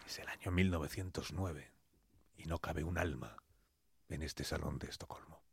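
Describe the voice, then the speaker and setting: A man with a Castilian Spanish accent narrating a scripted radio story in a dramatic, deliberate way, in short suspenseful phrases. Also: extremely low pitch, creaky, slightly rough, no echo, some chatter behind.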